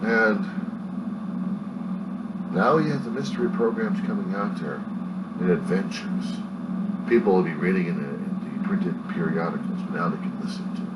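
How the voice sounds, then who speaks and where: Deep-voiced middle-aged American man, noisy recording. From Southern California, US. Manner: halting, meandering, reflective. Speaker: vlogger. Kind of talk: rambling reminiscing monologue. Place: small cluttered room at home. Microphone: webcam a few feet away. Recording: distant mic with loud room hum.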